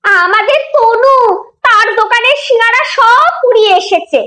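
Cartoon part: scolding, exasperated mother acted by a woman, sharp and very high. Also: moderate pace. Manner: scolding, sarcastic. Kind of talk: children's cartoon dialogue.

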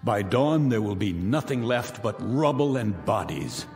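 An actor playing a wealthy, cynical man who calmly announces the end of the world from his bunker, with a smooth, menacing, deliberate delivery. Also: on the low side. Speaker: Mr. Raiden, bunker owner. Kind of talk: radio drama acting. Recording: long reverb with music beneath.

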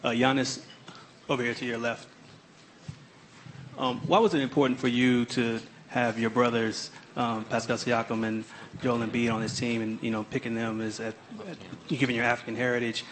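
A male reporter asking a formal, steady question at a press conference. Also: mid-range voice, moderate pace, slight background noise, rough recording. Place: press room, in the audience.

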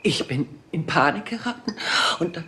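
A woman's voice: fairly low pitch, animated, normal speed, fairly bright tone. An actress playing a proud, aging female film diva in a radio crime drama, haughty and indignant. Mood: confessing.

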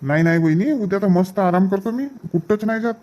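A man's voice: sits high, lively intonation, brisk, mellow, highs cut off. A man voicing easygoing village farm men in a cartoon comedy.

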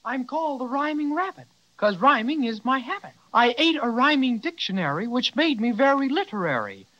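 A fussy, eager storybook rabbit speaks in sing-song rhyme. The voice is high and theatrical, on a dull, lo-fi 1930s radio recording. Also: very animated, normal speed, a bit bright.

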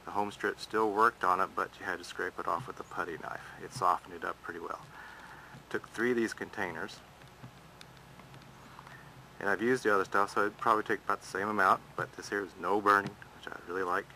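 A man's voice: low-pitched, steady tone, fairly quick, vocal fry, sharp tone, a little hiss or hum, rough recording. A man restoring an old wooden boat in his backyard, narrating over his own camera footage in a plain-spoken, practical way. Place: outdoors in a backyard.

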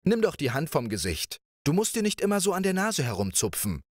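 A man voicing a cheerful, carefree young princess with a playful, lively way of speaking. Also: fairly high pitch, brisk, dry recording, clean studio sound, pitched above the performer's own voice. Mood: teasing. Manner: bright, playful, lively questioning.

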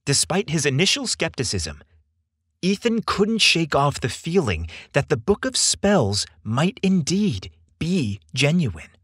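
A man reads a dramatic story at an unhurried pace. His delivery is theatrical and deliberate, with a lively, swinging intonation. The voice is slightly rough and husky, captured close and dry. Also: on the high side, dry recording. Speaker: podcast storyteller. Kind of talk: scripted narration, dramatic storytelling.

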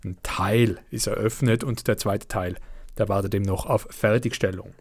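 A man's voice: on the low side, fairly even intonation, moderate pace. A man, slightly under the weather, giving his viewers a casual monthly update on his gaming videos, chatty with fillers and an uneven pace. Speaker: let's play channel host.

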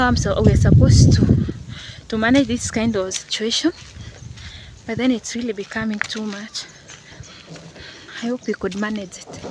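A young Kenyan woman vlogging to a phone at arm's length outdoors in green countryside, talking earnestly and repeating herself as she pleads. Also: fairly high pitch. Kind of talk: selfie vlog to camera. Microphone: phone held at arm's length. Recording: outdoor phone recording with clear background noise.

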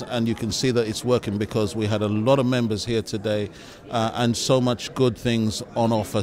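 A middle-aged male pastor giving measured, halting interview answers into a handheld microphone in a large church hall. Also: lowish voice, a little mellow.

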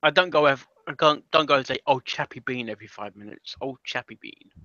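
A man's voice: mid-range voice, fairly quick, dry recording, dull audio. A British man co-hosting a livestream, joking and chatting with the viewers. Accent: British English. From Britain. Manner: animated, joking, talks to the chat. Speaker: livestream co-host. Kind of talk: casual chat.

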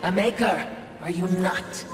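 Woman acting a fawning game spirit, slow, wheedling, hesitant, echoing hall. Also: lowish voice, lightly acted. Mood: probing. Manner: deferential, wheedling, halting. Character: fawning, hesitant spirit servant, slyly obsequious. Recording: echoing hall with music behind.

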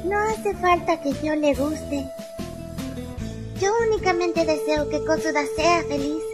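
Colegiala tímida de voz agudísima. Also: female voice, high voice, unhurried. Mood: flustered, wistful. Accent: Latin American Spanish. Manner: timid, earnest, hesitant. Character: shy, sweet, self-doubting teenage schoolgirl who cooks. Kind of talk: anime fan dub acting. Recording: loud music behind the voice.

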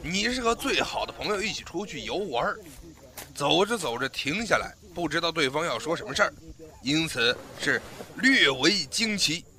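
Audio drama role: strict, scolding male director, highish forceful voice, impatient, acted by a man. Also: big pitch swings, moderate pace. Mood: exasperated.

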